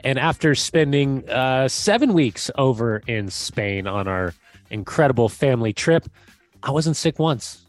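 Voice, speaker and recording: A man co-hosting a podcast while sick and losing his voice, telling stories in an animated way with fillers. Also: medium pitch, a little slow, no room sound, faint music behind. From United States. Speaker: podcast co-host. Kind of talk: casual podcast chat.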